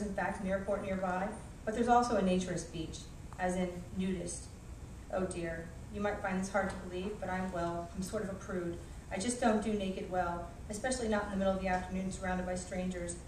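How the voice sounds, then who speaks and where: A woman reads her own comic essay in a wry, self-deprecating way. Her voice sits at an average pitch. She is picked up from a distance in an echoey room, with faint noise underneath. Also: darkish tone. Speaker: author reading aloud, flight attendant. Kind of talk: expressive reading of a humorous personal essay.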